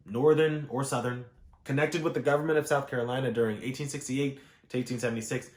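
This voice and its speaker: In a clearly reverberant room, a man reads a history book aloud. His voice sits at a middling pitch, and his delivery is formal and measured, leaning firmly on key words. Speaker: audiobook reader. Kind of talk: read-aloud of scholarly history text. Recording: echoey room.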